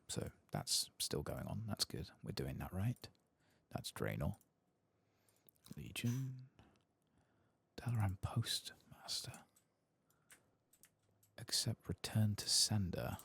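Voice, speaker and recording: A soft-spoken man hosting an ASMR game playthrough, muttering to himself and at times dropping to a whisper. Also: deep voice, very animated, a little slow, vocal fry, pauses often, slightly rough, no echo. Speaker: host of a game playthrough channel.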